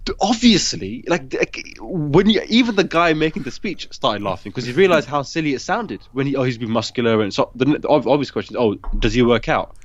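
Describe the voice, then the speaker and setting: A man raised in the UK, born in Pakistan, hosting a casual interview and telling animated anecdotes that act out what others said. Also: medium pitch, fairly quick, few pauses, no room sound, muffled recording. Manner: animated storyteller, acts out quoted voices. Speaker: podcast host.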